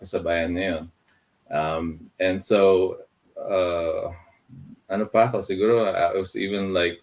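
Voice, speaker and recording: Man, middle-aged, from the Philippines, very low voice, halting, many fillers, interview guest. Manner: hesitant, many fillers, mixes in Tagalog. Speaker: guest, filmmaker. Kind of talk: casual interview talk.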